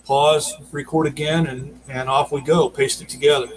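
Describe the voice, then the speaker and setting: A man explaining his work as an audio drama producer in a casual, halting way during an interview over a video call. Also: on the high side, little pitch movement, low-quality recording. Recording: noticeable background noise, video call.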